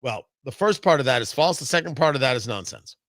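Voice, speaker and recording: An American man delivers political commentary in a bright, forceful voice that swings widely in pitch. He is emphatic, leaning on drawn-out stresses and repeated phrases, and the sound is close and dry. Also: expressive, dry recording. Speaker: political talk show host. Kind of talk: opinionated political commentary monologue.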